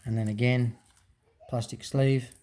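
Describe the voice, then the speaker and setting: A man's voice: medium pitch, steady tone, measured pace, dark tone, slight background noise. A man casually explaining a hands-on teardown, talking off the cuff with frequent ums and restarts. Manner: unscripted explaining with fillers and restarts. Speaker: hobbyist presenter. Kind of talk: casual show-and-tell teardown.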